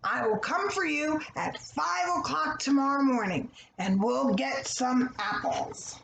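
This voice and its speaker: A woman's voice: sits high, lively intonation, creaky, fairly bright tone, reverberant, a little hiss or hum, pitched above the performer's own voice, exaggerated voice, poor audio. A woman voicing a hungry, sly male wolf in a children's story, with theatrical, blustering and coaxing delivery. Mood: scheming. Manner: drawn-out, theatrical huffing threats and coaxing calls.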